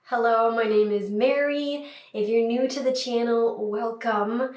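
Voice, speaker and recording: A woman's voice: fairly high pitch, steady tone, a little slow, a little mellow. Stuffy-nosed woman in an echoey room. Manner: chatty, upbeat, frequent "um" fillers. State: stuffy from a cold. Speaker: beauty vlogger. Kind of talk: casual makeup tutorial. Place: small room indoors.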